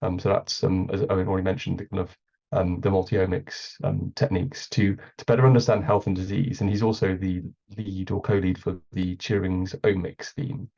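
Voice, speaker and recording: A man with a cold and a gravelly, hoarse voice, co-hosting an online meeting and hesitating with many ums. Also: deep voice, little pitch movement, a little fast, dark tone. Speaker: meeting co-host. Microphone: computer mic on a video call. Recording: muffled lo-fi video call audio.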